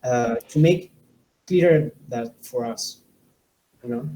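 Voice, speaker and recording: A man hosting an interview, asking questions in slow, searching phrases with fillers. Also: mid-range voice, mellow, low-quality recording. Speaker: interview host. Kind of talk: conducting an interview.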